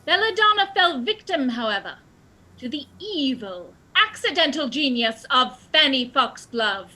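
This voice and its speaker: A woman's voice: high-pitched, big pitch swings, a little slow, bright, forceful tone, full character voice, poor audio. A woman acting as a self-assured, theatrical lady detective in a comic radio play, declaiming pompously. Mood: commanding, triumphant. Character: theatrical female amateur detective explaining a murder, self-assured. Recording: music behind the voice.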